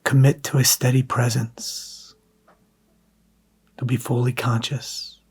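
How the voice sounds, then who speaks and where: Guy leading a guided meditation, super slow and even, soft hushed voice w long pauses. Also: mid-range voice, husky.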